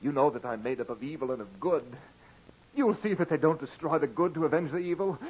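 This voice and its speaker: A man's voice: a little high, animated, fairly quick, breathy edge. An actor playing an agitated, guilt-ridden murderer in his thirties, stammering and pleading in 1940s radio horror style. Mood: frantic, pleading. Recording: muffled old radio recording with music.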